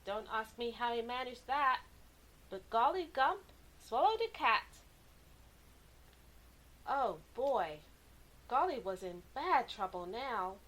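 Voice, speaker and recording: A woman reading a children's story aloud with animated, deliberate, dramatic expression. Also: fairly high pitch. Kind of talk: expressive picture-book narration for kids.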